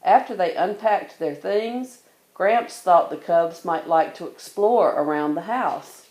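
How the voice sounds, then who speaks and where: Lady reading kids a bedtime story, kinda low voice, echoey room. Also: takes it slowly, a little mellow. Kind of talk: expressive children's storybook narration.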